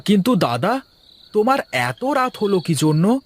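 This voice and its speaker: Man voicing a highish-voiced respectful younger brother. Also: very animated, normal speed. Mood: familiar. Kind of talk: Bengali cartoon voice acting.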